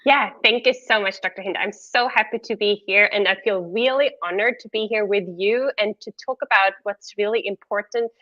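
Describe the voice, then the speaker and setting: Swedish-accented woman, bright and dry. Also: mid-range voice, moderate pace, hardly any pauses, no room sound, muffled recording. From Sweden. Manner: open and candid, laughing easily. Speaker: guest, entrepreneur. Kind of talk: interview answers.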